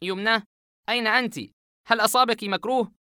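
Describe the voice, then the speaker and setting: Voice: mid-range voice, fairly quick, pushed and bright, no echo, studio quality, pitched above the performer's own voice, lightly acted. A narrator voicing a frightened young man in a horror audiobook, asking anxious, stunned questions. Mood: shaken. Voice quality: shaken, anxious.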